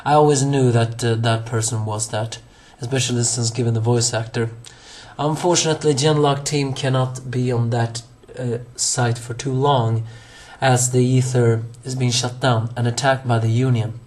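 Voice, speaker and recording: Man, young adult, hoarse, rambling, halting, anime review, mid pitch. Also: fairly even intonation, a little mellow. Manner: rambling, halting, many fillers and restarts. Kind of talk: solo episode review.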